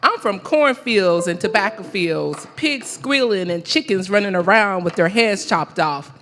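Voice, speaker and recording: Lady doing a spoken word style speech, emphatic n deliberate, big echoey hall w long reverb. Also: medium pitch, animated, fairly bright tone. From American South (the Carolinas). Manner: emphatic, deliberate, repeating phrases for effect. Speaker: keynote speaker at a conference. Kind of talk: rhythmic spoken-word poetic public speech. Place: large conference hall. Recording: reverberant large hall through PA.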